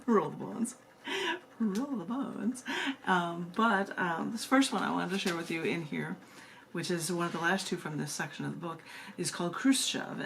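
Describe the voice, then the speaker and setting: A woman's voice: fairly low pitch, creaky. A woman with an American accent, a poet presenting and reading her own work live, emphatic and informal by turns. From United States. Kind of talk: live poetry reading with informal spoken introductions. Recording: noticeable background noise and room ambience.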